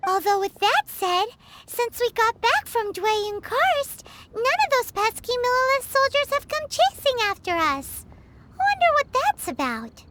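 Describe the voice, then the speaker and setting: A woman's voice: very high-pitched, unhurried, very noisy, heavily acted. A woman voicing a small, chirpy, cheeky childlike fairy companion in a video game. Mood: puzzled, wary. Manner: excitable, refers to self in third person. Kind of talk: video game cutscene voice acting.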